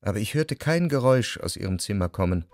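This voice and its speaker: Brooding gentleman narrator, dry sound. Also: on the low side, lively intonation, no room sound, faint music behind. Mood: anxious, brooding. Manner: measured, reflective. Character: anxious, brooding, introspective gentleman narrating his obsessive love. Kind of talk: literary first-person narration in radio play.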